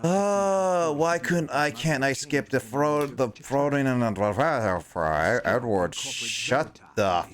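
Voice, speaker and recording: A man's voice: mid-range voice, expressive, slow pace, few pauses, crowd noise. A young man streaming a video game and chatting casually with his viewers, rambling, mocking himself and stumbling over names. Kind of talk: casual livestream chat with viewers.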